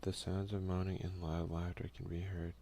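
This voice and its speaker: A man's voice: sits low, little pitch movement, normal speed, vocal fry, dark tone, slight background noise. A man hosting a casual ASMR let's play, talking informally with muttered slangy asides and reading game text aloud. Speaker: gaming video host. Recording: music behind the voice.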